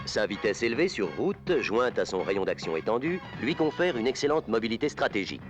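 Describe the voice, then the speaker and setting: A man narrating an instructional film in a formal, scripted, measured documentary style. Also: mid-range voice, very animated. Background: music.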